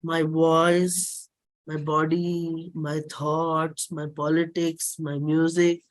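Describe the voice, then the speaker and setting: A performer with an Indian accent and a husky voice, giving a dramatic, deliberate reading of a personal play script. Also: mid-range voice, level tone. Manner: measured, deliberate, theatrical phrasing.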